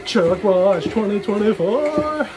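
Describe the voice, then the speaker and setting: A man's voice: sits high, lively intonation, takes it slowly, background noise. A man giving a casual, rambling solo vlog monologue in frank, slangy, sweary talk. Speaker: solo vlogger.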